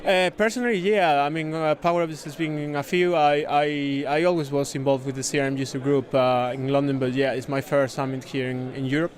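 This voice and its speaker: A man from Spain with a Spanish accent, a native Spanish speaker, explaining a product in a casual interview with halting, repeated words. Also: on the high side, steady tone. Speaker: software consultant demonstrating a product, interviewee. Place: busy conference venue.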